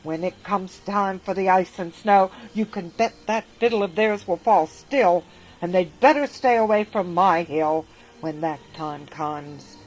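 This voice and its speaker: Woman doing a shouting, stingy ant man. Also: lowish voice, measured pace, lightly acted, low-quality recording. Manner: loud, insistent shouting. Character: stingy hard-working male ant, grumbling and shouting.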